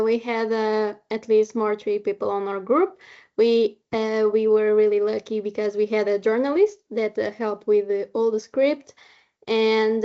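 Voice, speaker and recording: High-voiced non-native English woman, halting. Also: darkish tone. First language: not English. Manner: halting, searching for words. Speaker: short film maker introducing the film. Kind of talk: informal spoken presentation at online event. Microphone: video call. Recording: dull, lo-fi online call audio.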